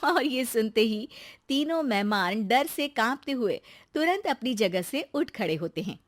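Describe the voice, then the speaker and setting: A woman tells a scripted story in a high voice. Her intonation moves in animated swings as she narrates. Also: measured pace.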